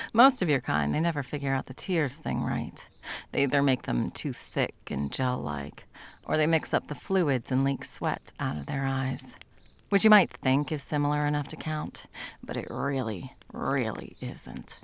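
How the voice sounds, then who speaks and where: A woman's voice: rumbling low, gravelly, heavily acted. A woman playing a bitter, sarcastic monster hunter and betrayed lover in a dramatic ASMR roleplay, mocking and foul-mouthed.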